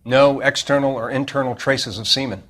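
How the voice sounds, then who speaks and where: An actor playing a wry police medical examiner in a 1940s video game, speaking in a clinical, matter-of-fact way. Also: male voice, medium pitch, fairly even intonation, normal speed, fairly bright tone, close to an everyday voice. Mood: dry, matter-of-fact. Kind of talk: cinematic video game acting.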